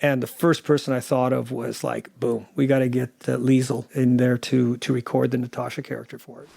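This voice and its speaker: A man's voice: medium pitch, flat delivery, brisk, creaky, mellow, slightly rough. A man talking conversationally as an audio drama producer, recounting things and quoting remembered dialogue. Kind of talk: conversational interview anecdote.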